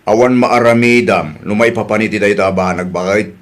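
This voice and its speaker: A man's voice: lowish voice, fairly quick, close to an everyday voice. A man playing a nagging, suspicious older father figure in a comedy drama, with an Ilocano accent and a questioning manner.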